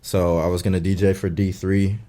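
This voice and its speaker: Low, mellow-voiced young man chatting. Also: level tone, moderate pace. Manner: laid-back, loose, filler-heavy talk. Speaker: guest DJ and producer. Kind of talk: casual interview chat.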